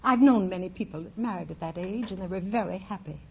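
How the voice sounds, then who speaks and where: A woman's voice: mid-range voice, quick pace, creaky, mellow, a little hiss or hum. An older woman answering unscripted as a contestant on a 1940s radio quiz. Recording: dull vintage radio recording.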